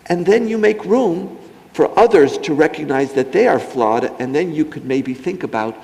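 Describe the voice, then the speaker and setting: Rabbi panelist, male, outspoken and emphatic, animated delivery, reverberant hall. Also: on the high side, normal speed, gravelly. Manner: emphatic, outspoken, mocking in asides. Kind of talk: impassioned panel talk.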